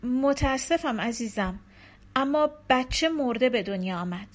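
A woman voicing a calm husband who breaks bad news gently, in a soft, measured way. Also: fairly high pitch.

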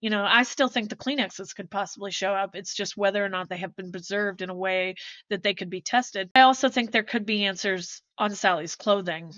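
A woman podcast host talks through details in a measured, explanatory way. Her delivery is conversational, at a middling pitch. The sound is very dry and slightly dull, with little room in it. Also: a bit bright, no room sound. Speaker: true crime podcast host. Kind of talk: conversational explanation of forensic evidence.

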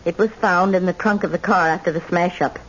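A woman's voice: on the low side, steady tone, fairly quick, a bit bright. A woman playing an indomitable, bitter old grandmother, imperious and emphatic, in old-time radio drama style. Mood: bitter, scornful. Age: older. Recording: dull, noisy old radio recording.

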